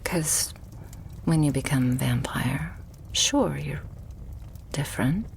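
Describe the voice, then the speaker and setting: A woman playing a loving, possessive vampire girlfriend in an intimate ASMR roleplay, tender and hushed, with breathy sighs between phrases. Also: deep voice, slow, slight background noise, full character voice. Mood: wistful, tender.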